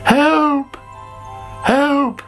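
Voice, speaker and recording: A narrator voicing a greedy young male caterpillar, cheeky and excitable, in a dramatic, whining manner. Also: sits high, extremely slow, faint music behind, voice pushed up, heavily acted. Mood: panicked, miserable.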